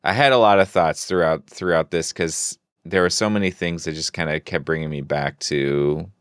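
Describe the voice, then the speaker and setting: A man's voice: extremely low pitch, moderate pace, clean tone, no room sound, clean studio sound. A man co-hosting a podcast, talking casually and thoughtfully, feeling out his phrasing as he goes. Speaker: podcast co-host. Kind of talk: casual discussion.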